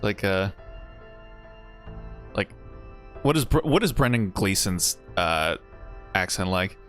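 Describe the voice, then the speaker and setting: A man's voice: deep voice, big pitch swings, slow pace, fairly bright tone, faint music behind. A man chatting casually on a game stream, rambling and cutting himself off, with frequent laughs. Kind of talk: casual game stream chat, reading game text aloud.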